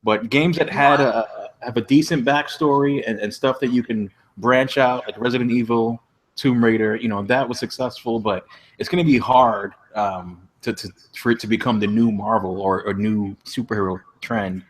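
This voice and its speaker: A man in a casual panel discussion on a video call, giving his opinion in a hesitant, measured way. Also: mid-range voice, fairly even intonation, poor audio. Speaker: podcast panelist.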